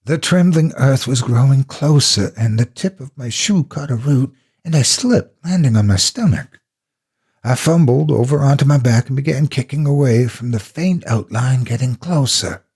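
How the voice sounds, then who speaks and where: A man with a husky, gravelly voice narrating a horror story in the first person, dramatically and with deliberate expression. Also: mid-range voice, a little mellow.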